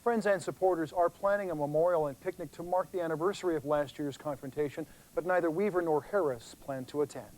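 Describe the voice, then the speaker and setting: A man's voice: on the high side, fast talker, few pauses, a little mellow, poor audio. A man anchoring local television news in a scripted newscast delivery.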